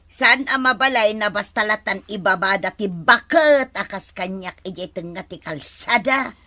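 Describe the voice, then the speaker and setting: An actress as a bright, fretful Ilocano village wife. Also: female voice, medium pitch, measured pace, no room sound, studio quality. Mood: indignant. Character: aggrieved village wife, fretting and complaining, Ilocano comedy. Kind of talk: comedy drama acting.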